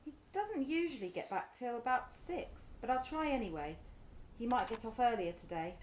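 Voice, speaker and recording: A woman's voice: a little high, expressive, normal speed, echoey room, slight background noise, lightly acted. A woman with a British accent playing an anxious, easily stung middle-aged mother in a naturalistic radio play. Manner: fretful, emotive.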